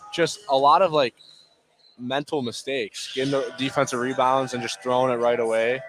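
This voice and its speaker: A man giving casual color commentary on a live basketball game. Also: medium pitch. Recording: clearly noisy sports venue broadcast.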